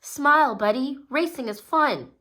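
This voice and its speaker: A woman's voice: high voice, animated, unhurried, bright, forceful tone, voice pushed up, full character voice. A woman voicing a friendly, easygoing male champion racer and coach in a read-aloud storybook. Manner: friendly and encouraging.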